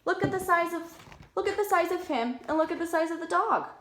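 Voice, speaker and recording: A woman's voice: very high-pitched, brisk, fairly bright tone. A woman preschool teacher reading aloud to young children, talking simply and putting questions to them. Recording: echoey room.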